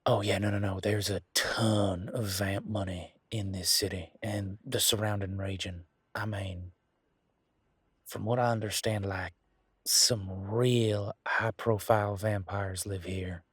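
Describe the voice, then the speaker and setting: Man acting as a male werewolf, husky, lowish pitch, casual, wry, teasing, folksy, dry close sound, intimate audio drama. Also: steady tone, measured pace, a bit bright, no echo. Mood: impressed. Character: laid-back wry male werewolf, new in the city. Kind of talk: intimate roleplay audio drama, one-sided conversation.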